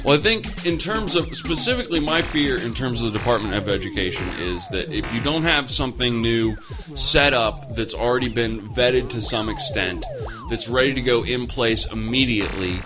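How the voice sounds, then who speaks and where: Guy on a podcast arguing politics, swears a lot, really animated pitch, music behind him. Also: moderate pace. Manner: profane, emphatic, argumentative. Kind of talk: casual political debate among friends.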